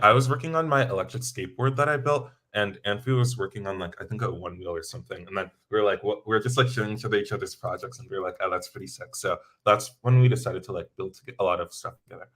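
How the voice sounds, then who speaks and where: A young American man, a student and engineer, answering interview questions in a relaxed way, full of filler words. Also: on the low side, quick pace, few pauses. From United States. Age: young adult. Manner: relaxed, many filler likes.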